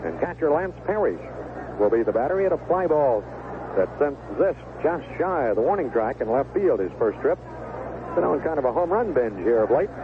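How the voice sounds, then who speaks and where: Lively baseball announcer on tinny old radio. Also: male voice, fairly high pitch, moderate pace. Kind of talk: 1970s radio baseball commentary. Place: stadium broadcast booth. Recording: lo-fi, noisy vintage AM radio broadcast. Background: ballpark ambience and noise.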